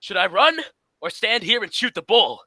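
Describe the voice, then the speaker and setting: A woman's voice: mid-range voice, very animated, moderate pace, sharp tone, dry recording. A woman playing a blustery, exasperated male straight man in an old-time radio comedy, scolding and repeating phrases emphatically. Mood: boastful.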